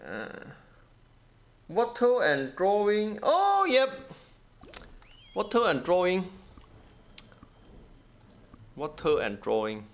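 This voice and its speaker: A man's voice: a little high, vocal fry. A man giving casual gameplay commentary in non-native, broken English, thinking aloud in short, repeated phrases. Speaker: gaming video host.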